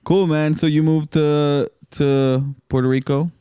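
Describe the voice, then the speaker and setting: A man's voice: fairly high pitch, flat delivery, a little slow, warm tone, clean tone, no echo, clean studio sound. A man hosting a podcast in casual, informal, slangy conversation. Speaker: podcast host. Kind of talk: casual chat.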